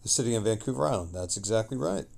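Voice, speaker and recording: A middle-aged man who sounds tired, chatting casually on a livestream in a rambling, halting way and trailing off mid-sentence. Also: lowish voice, lively intonation, creaky, a little mellow.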